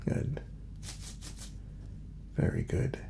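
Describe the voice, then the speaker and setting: A man speaking softly and breathily in an ASMR doctor roleplay, often slipping into a whisper as he gives gentle, reassuring, step-by-step instructions. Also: extremely slow, slight background noise. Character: calm, courteous male doctor examining a patient. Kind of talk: soft-spoken ASMR medical exam roleplay.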